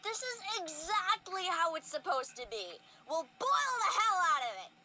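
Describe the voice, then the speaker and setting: A woman in a fan audio drama playing a brash, hot-headed female fish warrior, loud and stubborn. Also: extremely high pitch, very animated, moderate pace, background noise.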